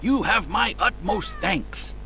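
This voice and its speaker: A man's voice: high-pitched, animated, slow pace, bright, forceful tone, faint music behind, heavily acted. A man voicing a jovial, fawning landlord who is eager to please, in an anime game. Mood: grateful, jovial. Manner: effusive, fawning.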